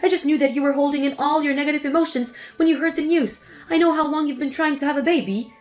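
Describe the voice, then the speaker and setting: A woman's voice: sits high, fairly even intonation, quick pace, soft background music, lightly acted. A woman voicing a spiteful, scolding older mother-in-law in a scripted text-story drama. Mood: defensive. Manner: scolding, contemptuous.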